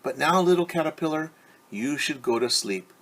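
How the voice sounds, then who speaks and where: Male storyteller doing female butterfly, knowing, gentle, reassuring, storybook, slightly breathy. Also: a little high, lively intonation, moderate pace, low-quality recording.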